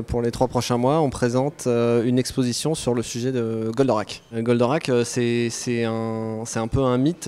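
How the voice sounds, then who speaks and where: A man in his thirties, a gallery co-owner, answering an interview in a small gallery with many hesitant pauses into a handheld mic. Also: medium pitch, a little mellow, clear, resonant tone. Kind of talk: on-camera interview. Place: small art gallery indoors.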